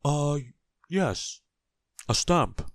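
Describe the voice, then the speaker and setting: A man voicing a forgetful, good-natured storybook gentleman who is hesitant, muddled and easily flustered. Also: on the low side, animated, normal speed, no room sound, studio quality, lightly acted. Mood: relieved.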